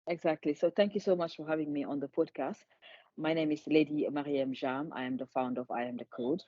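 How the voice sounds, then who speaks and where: A woman talks earnestly as an interview guest, often repeating words as she restarts. Her voice is mellow and warm. The sound is dry and a little dull, as if lo-fi. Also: low voice, steady tone, fairly quick, no room sound. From Senegal. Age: 50s. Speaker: charity founder, guest. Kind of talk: conversational interview.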